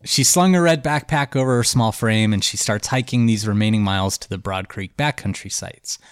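A man's voice: fairly low pitch, normal speed, clear, resonant tone, no room sound. Congested man telling a story, dry sound. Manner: loose and chatty, with many "like" and "um" fillers. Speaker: podcast host and storyteller. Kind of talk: casual storytelling chat.

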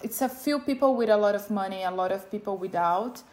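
A woman's voice: medium pitch, fairly quick, a little mellow. A woman in her thirties from Brazil, with a Brazilian accent, telling her personal story in a casual way as an interviewee. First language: Portuguese. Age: 30s. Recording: music playing behind the voice. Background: background music.

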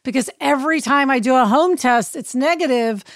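A woman with a raspy voice from illness, co-hosting a podcast in casual chat and acting out quoted lines. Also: high-pitched, fairly quick, dry recording, studio quality. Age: adult with a child. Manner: chatty, acts out quoted dialogue. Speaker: podcast co-host.

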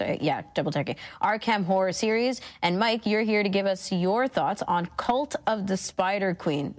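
A female co-host speaks in a conversational radio style. Her voice sits a little low for a woman as she presents and chats.

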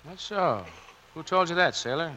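A man's voice: on the high side, animated, normal speed, sharp tone, slight background noise, rough recording. A man playing a tough, plain-spoken Navy sailor in a 1940s radio drama, with a streetwise, emphatic way of talking. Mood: stern, confronting. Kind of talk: 1940s radio acting, first-person narration and dialogue.

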